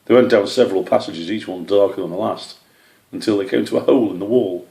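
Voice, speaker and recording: A man's voice: on the low side, quick pace, gravelly, mellow, echoey room. A man reading a scripted children's animal story as its narrator. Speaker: author or story reader.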